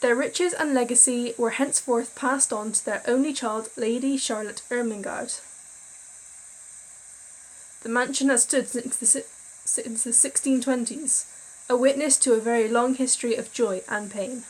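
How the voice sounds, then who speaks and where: A woman's voice: on the high side, steady tone, moderate pace, rough recording. A tired young woman reading a visual novel's story aloud and chatting casually, often tripping over words and laughing at herself. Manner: chatty, self-deprecating, stumbles over words while reading. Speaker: gaming video creator.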